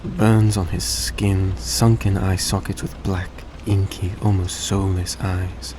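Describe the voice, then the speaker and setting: A man playing a suave, tender centuries-old vampire in a roleplay audio drama, speaking in a soft, coaxing, genteel way to the listener. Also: low-pitched, takes it slowly. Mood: solemn storytelling. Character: suave, gracious centuries-old male vampire, young-looking, tender and grateful. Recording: steady background noise, vehicle-like ambience.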